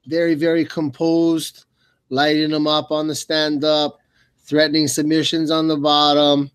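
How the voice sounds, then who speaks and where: A man's voice: fairly high pitch, monotone, a little slow, clean tone, dry recording. A man working as a colour commentator, giving casual, colloquial fight analysis and often repeating words as he builds a point.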